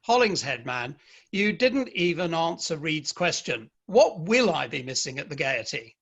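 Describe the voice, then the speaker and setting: A sociable gentleman of the Victorian era played by a man, with a slightly high voice. The performance is a play reading and the sound is lo-fi and muffled. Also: sing-song, a little slow, gravelly, full character voice. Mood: companionable. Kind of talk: dramatic play reading over the internet. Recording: dull, lo-fi online call audio.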